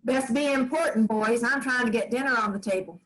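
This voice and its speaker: A woman playing a busy grandmother in a staged reading, brisk and scolding. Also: on the high side, fairly bright tone, lightly acted, poor audio. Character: busy older grandmother cooking dinner.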